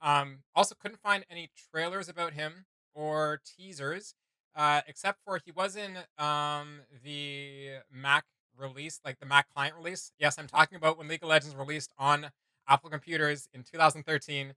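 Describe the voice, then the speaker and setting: A man's voice: fairly high pitch, steady tone, moderate pace, pushed and bright, smooth, dry recording. A man hosting a reaction video, talking in a casual, rambling, conversational way.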